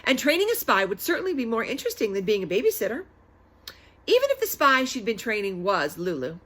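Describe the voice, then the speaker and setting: A woman's voice: on the high side, huge pitch swings, quick pace, a bit bright. A woman reading a children's story aloud dramatically, with chatty asides and questions to her listeners.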